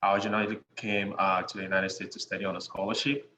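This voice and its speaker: A young man with a Ghanaian accent, a podcast guest chatting in a relaxed way, with many "you know" fillers and frequent chuckles. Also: low voice, fast talker. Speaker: guest, real estate investor. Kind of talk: casual interview conversation. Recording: dull lo-fi sound in an echoey room with faint noise.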